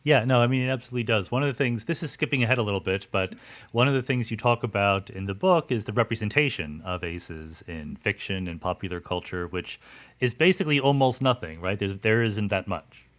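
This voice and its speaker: A man hosting a conversational interview, thinking aloud haltingly and rephrasing things to check he has understood. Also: on the low side, lively intonation, fairly bright tone. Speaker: podcast host, interviewer.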